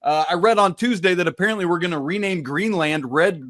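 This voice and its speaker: A man's voice: sits high, a little fast, a bit bright. A man co-hosting a sports podcast, arguing his points emphatically in casual debate. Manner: emphatic, argumentative. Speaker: podcast co-host.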